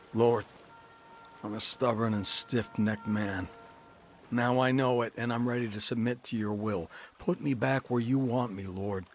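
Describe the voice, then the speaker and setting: A man narrating his own story of faith in a dramatized radio testimony, earnest and preacherly. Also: lowish voice.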